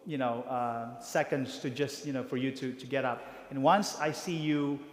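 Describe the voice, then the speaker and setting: A priest briefing parish volunteers, talking haltingly with many fillers and restarts. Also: male voice, mid-range voice, steady tone. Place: church hall. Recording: reverberant church hall.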